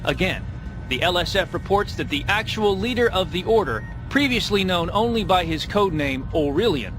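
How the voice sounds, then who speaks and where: A man's voice: sits high, animated, moderate pace, music in the background. An actor playing a formal male news anchor delivering a scripted bulletin in a space game.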